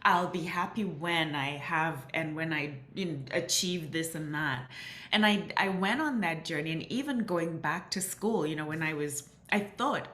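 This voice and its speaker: A woman's voice: deep voice, moderate pace, fairly bright tone. Earnest woman with an echoey sound. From Southern Africa. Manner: earnest, with frequent restarts and "you know". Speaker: guest. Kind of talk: reflective interview storytelling. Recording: roomy echo.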